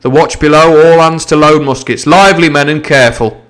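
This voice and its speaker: A man's voice: fairly high pitch, steady tone, brisk, a bit bright, voice pushed up, close to an everyday voice. Man doing a stern, clipped ship's captain. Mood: commanding. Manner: clipped and commanding. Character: stern, cold middle-aged ship's captain.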